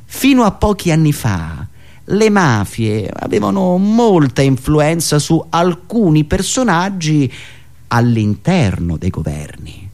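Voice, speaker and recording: A man delivering a satirical political monologue in an indignant, sarcastic, declamatory manner with dramatic pauses and repetitions. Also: fairly high pitch, big pitch swings, darkish tone. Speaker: satirical monologist and radio show host.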